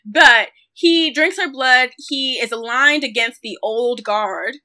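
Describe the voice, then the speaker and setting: A woman with a fairly high voice and a bright, crisp edge co-hosts a casual film discussion. She is animated and opinionated, with a sardonic streak. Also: slow pace. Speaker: film podcast co-host.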